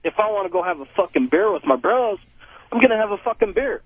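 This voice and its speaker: Young american guy calling in, tinny phone line, highish voice, rambles and swears a ton, bit defensive. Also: expressive, fast talker, breathy edge, no echo. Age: 20s. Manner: rambling, heavily profane, defensive. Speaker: caller. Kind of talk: casual call-in chat. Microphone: telephone.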